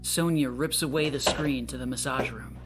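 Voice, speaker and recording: A woman reading stage directions as a scripted narrator in a plain, measured way. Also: very deep voice, over loud music. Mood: neutral, measured.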